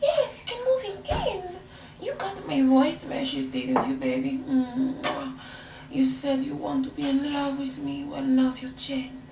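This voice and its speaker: A seductive Frenchwoman, cooing in a very high, swooping voice with a French accent. She is coy, brazen and theatrical, recorded in a roomy, noisy space. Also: lively intonation, vocal fry, far from the mic, full character voice. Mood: coy, insistent. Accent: French, put on. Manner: flirtatious, theatrical, drawn-out terms of endearment.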